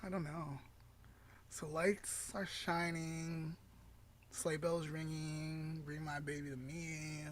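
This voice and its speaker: A woman's voice: low voice, level tone, takes it slowly, slight background noise. A woman co-hosting a podcast, talking in an informal, chatty way during casual banter. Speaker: podcast co-host. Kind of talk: casual chat and song guessing game.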